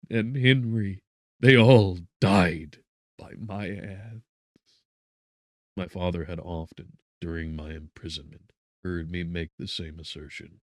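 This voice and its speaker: A man narrating a gothic novel as an audiobook, in a solemn, measured and theatrical manner. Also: deep voice, no room sound, clean studio sound.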